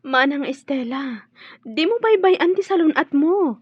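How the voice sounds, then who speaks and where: A woman's voice: high voice, lively intonation, a little slow, dry recording. An actress playing a blunt young woman pressing another about her feelings, in a radio drama. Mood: prodding. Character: young sister-in-law, blunt and prodding. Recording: dry muffled studio audio.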